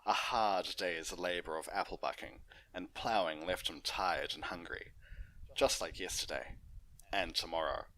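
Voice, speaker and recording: A man's voice: deep voice, a little slow, pushed and bright, poor audio. A man hosting and reading fan fiction aloud as an amateur narrator. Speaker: host and story reader.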